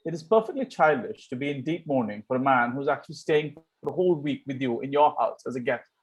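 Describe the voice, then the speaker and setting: A man's voice: mid-range voice, lively intonation, quick pace, natural voice, rough recording. A man playing a cheeky, idle young Victorian dandy with a breezy, teasing delivery in an amateur table read of a stage comedy. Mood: flippant, teasing.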